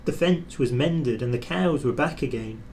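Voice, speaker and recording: A man's voice: medium pitch, normal speed, a little mellow. A man narrating a children's storybook as a storyteller. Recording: clear background noise.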